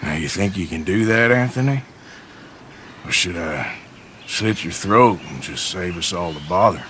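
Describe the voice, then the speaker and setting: A rough American outlaw in a game cutscene. His voice has a hard, bright edge and swings in pitch as he drawls wry, sardonic lines. Faint noise of the outdoors sits behind him. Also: lively intonation, unhurried, exaggerated voice. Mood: menacing. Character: rough, world-weary outlaw gunman with a dry, wry temper. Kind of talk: video game cutscene acting.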